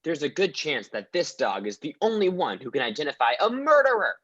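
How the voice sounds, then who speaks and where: An actor playing an uptight, exasperated male police officer in a parody radio play, flustered and deadpan. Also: a little high, brisk, muffled recording.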